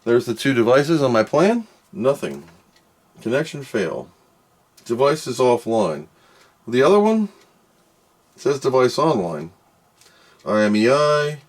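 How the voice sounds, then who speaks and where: A man's voice: mid-range voice, sing-song, unhurried, pauses often. A man doing casual hands-on product commentary, muttering to himself as he works and reading out screens. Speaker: product reviewer doing an unboxing and test.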